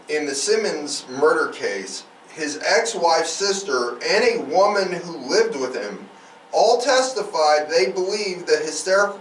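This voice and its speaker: A man hosting a true crime podcast, telling the story in a casual, emphatic and profane conversational way. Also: a little high. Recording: roomy, distant mic, faint noise.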